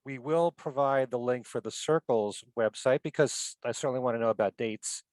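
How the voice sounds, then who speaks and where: A male podcast host speaking at a middle pitch with an easy, friendly storytelling manner. The recording is dry, with no room sound around his voice. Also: moderate pace, darkish tone, no room sound. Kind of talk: casual interview chat. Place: lives in Connecticut.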